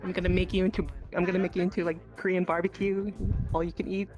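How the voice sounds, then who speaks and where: A woman in casual, playful, slangy banter, excitable, with some music behind her. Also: lowish voice, fast.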